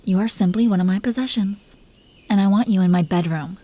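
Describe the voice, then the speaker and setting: A woman's voice: medium pitch, a little fast, dark tone, close to an everyday voice. A woman playing a possessive, teasing dragon queen in an intimate roleplay audio, commanding yet doting toward her husband. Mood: coolly possessive. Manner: playful, commanding, mock-sweet.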